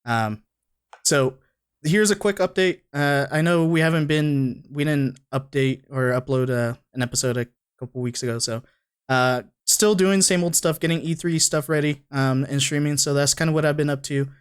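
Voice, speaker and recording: Young male podcast host, upbeat, slightly high-pitched, loose and filler-heavy. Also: moderate pace, clear, resonant tone. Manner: upbeat, hype greetings, many fillers. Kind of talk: casual chat.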